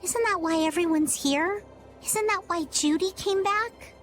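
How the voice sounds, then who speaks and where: A woman's voice: extremely high pitch, a little slow, a bit bright. A woman playing an earnest, innocent youngster in a fantasy video game cutscene. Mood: earnest, wistful. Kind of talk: English game cutscene voice acting. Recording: music behind the voice.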